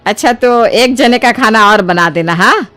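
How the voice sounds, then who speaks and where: A woman playing a kindly older mother-in-law in a story, with an affectionate, coaxing, expressive manner. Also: on the high side, unhurried. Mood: doting.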